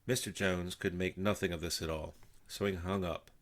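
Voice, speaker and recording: An older man reading a children's story aloud in an animated storytelling style. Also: low-pitched, moderate pace. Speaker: storybook reader.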